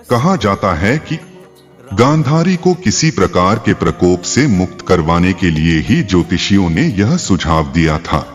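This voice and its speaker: A man narrating a scripted religious tale as a storyteller, in a measured, explanatory way. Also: low-pitched. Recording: long reverb, faint music behind. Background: faint background music.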